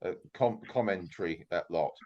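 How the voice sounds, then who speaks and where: A man's voice: low voice, fairly quick, muffled recording, crowd noise. An older British man, a retired chief engineer, dropping dry remarks and corrections into a club talk. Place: club meeting room.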